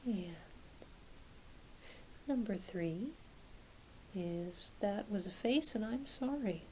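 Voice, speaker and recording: A woman playing a gentle, reassuring doctor in an ASMR exam roleplay, speaking softly and hesitantly, often half-whispering. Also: medium pitch, takes it slowly, low-quality recording. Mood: calm, reassuring. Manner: soft, half-whispered, hesitant, apologetic bedside talk. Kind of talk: soft-spoken ASMR medical exam roleplay. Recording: faint steady hiss behind close voice.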